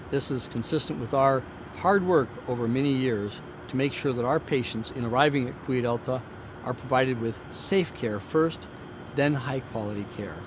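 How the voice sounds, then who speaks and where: A man giving a prepared hospital newsreel update in a formal, measured, deliberate way, like an executive addressing the community. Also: mid-range voice. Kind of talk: prepared newsreel presentation to camera. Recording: clear outdoor traffic and ambient noise.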